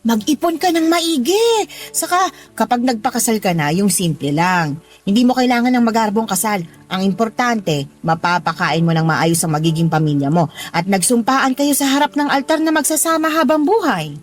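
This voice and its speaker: An actress playing a kindly married boss in a Filipino radio drama, giving firm, nurturing advice in a Filipino accent. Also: female voice, fairly high pitch, animated, normal speed, lightly acted. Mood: warm, advising. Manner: advising, nurturing, firm reminders. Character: kindly, motherly married female boss teaching street-food vending. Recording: music playing behind the voice.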